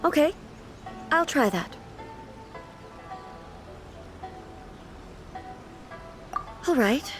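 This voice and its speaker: A woman playing a reserved, earnest and naive immortal ruler in a video game, speaking in a formal, measured manner. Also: sits high, expressive, faint music behind. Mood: puzzled, self-conscious. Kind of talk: video game cutscene acting.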